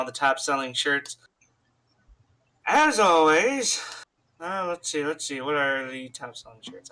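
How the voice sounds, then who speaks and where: Bright, forceful man doing podcast promos. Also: fairly high pitch, slight background noise. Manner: informal promotional patter, addressing listeners as folks. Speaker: podcast co-host. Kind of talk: casual sponsor read and banter on a wrestling podcast.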